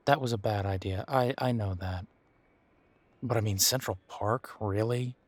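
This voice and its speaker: A man playing a bitter, wry young werewolf in an audio roleplay, talking haltingly and sardonically as if in intimate one-sided conversation. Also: on the low side, no room sound. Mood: rueful, bitter. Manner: halting, sardonic, conversational with sighs and repeated words.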